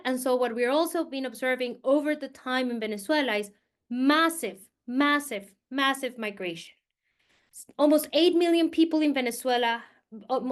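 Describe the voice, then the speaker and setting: High-voiced woman from Colombia lecturing. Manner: conversational, with fillers and emphatic repetition. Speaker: guest speaker, political scientist. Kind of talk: academic presentation to teachers. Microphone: video call.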